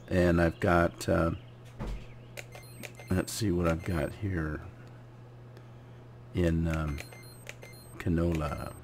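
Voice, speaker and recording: A man with a cold and a gravelly, hoarse voice, casually commenting on his farming game while often clearing his throat. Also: low-pitched, slight background noise. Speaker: gaming channel host.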